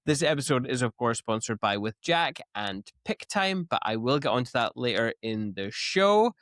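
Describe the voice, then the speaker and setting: A man's voice: mid-range voice, very animated, normal speed, fairly bright tone, no room sound, studio quality. A man hosting a podcast in a casual, chatty style. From Scotland. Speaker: podcast host.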